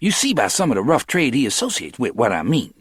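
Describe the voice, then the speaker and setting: A high, lively voice plays a streetwise young hustler, quick and clipped in his street talk, boastful and swinging all over in pitch. The reading is close and dry. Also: slightly rough, no room sound. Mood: animated. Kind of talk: audiobook character reading.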